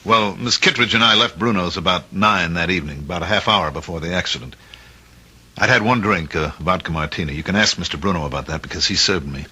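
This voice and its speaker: An actor playing an earnest driver testifying in a radio drama, careful and explanatory. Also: male voice, sits low, quick pace, pushed and bright, breathy edge, heavily acted. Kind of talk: old-time radio drama acting.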